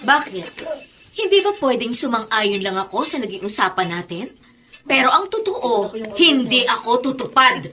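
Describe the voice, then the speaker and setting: A woman's voice: a little high, sing-song, moderate pace, pushed and bright, husky, exaggerated voice. A Filipino actress playing a defiant, long-suffering middle-aged mother, emphatic and melodramatic, in a Tagalog radio drama.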